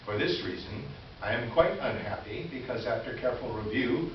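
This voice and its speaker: A man's voice: mid-range voice, lively intonation, normal speed, natural voice. A man playing a smug, officious middle-aged complaints officer, reading his formal, condescending letter aloud in staged reader's theater. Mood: pompous formality. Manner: formal, pompous, pointedly condescending. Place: indoor stage presentation before an audience. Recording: echoey hall from far off, dull, faint hiss.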